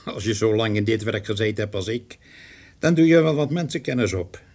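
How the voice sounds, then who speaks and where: An actor playing a shrewd government agent in a radio drama, assured and businesslike, with a gravelly, husky voice. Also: male voice, fairly low pitch, expressive, brisk, darkish tone. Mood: assured, instructing. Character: smooth-talking, shrewd male government agent recruiting a detective.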